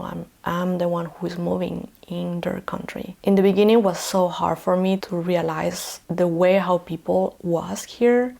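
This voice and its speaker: A woman in her thirties from Chile, speaking English with a Chilean Spanish accent, softly and hesitantly telling a personal vlog story, often dropping toward a whisper. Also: lowish voice, vocal fry. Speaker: vlogger.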